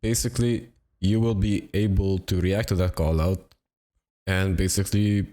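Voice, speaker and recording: Deep-voiced raspy young man, tired. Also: moderate pace. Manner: relaxed and rambling, with many fillers. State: raspy and low on energy from a cold. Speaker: gaming video creator. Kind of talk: casual tutorial narration. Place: home apartment.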